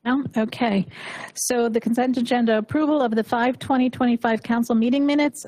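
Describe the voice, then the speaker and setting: A woman with a fairly high voice chairs a council meeting, reading the agenda steadily. Her asides are hesitant, full of ums. The sound is dry, with little room in it. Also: a little mellow, no room sound, rough recording.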